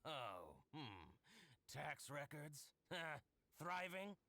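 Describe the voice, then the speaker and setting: A sly, sing-song shopkeeper. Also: male voice, a little high, big pitch swings, extremely slow, vocal fry, bright, forceful tone, heavily acted. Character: fawning, sly middle-aged male merchant. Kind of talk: video game voice acting.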